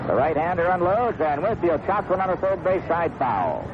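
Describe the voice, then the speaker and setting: A man's voice: on the high side, very animated, normal speed. An American man calling baseball play-by-play in 1970s radio style, conversational, descriptive and folksy. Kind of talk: 1970s live radio sports commentary. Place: open broadcast booth at a baseball stadium. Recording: dull vintage radio broadcast, loud stadium noise. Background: loud ballpark ambience.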